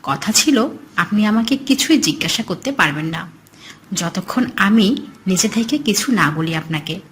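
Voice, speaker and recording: A woman's voice: medium pitch, lively intonation, unhurried, slight background noise, natural voice. A woman voicing a secretive, sinister male grave robber with a firm, guarded manner in a horror cartoon story.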